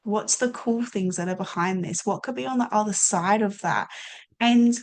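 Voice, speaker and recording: A woman's voice: mid-range voice, moderate pace. A woman coach hosting a podcast, speaking encouragingly and talking straight to viewers. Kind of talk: warm reflective casual chat.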